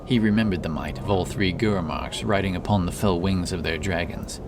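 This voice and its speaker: A man's voice: low voice, moderate pace, noisy background. A man narrating a dramatic fantasy story as an audiobook, over background ambience. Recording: clear background ambience under voice.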